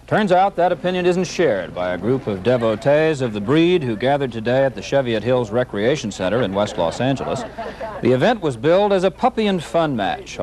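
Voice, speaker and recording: A man's voice: medium pitch, a little mellow, slight background noise. A man with an American accent anchoring a local newscast, reading scripted stories in a wry manner. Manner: wry, wordplay-laden. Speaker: TV news anchor. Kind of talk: scripted local news narration.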